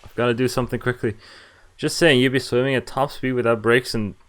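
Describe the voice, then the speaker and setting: A man's voice: mid-range voice, fairly even intonation, a little fast, fairly bright tone. A man streaming a visual novel let's play, reading the text aloud and adding casual, offhand commentary of his own. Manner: mixes read-aloud narration with offhand reactions and asides. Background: game music.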